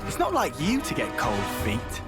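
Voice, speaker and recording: An actor playing a cocky, impatient young fighter in a video game, with brash, punchy delivery. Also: male voice, medium pitch, huge pitch swings, moderate pace, loud music behind the voice. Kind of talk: video game cutscene acting.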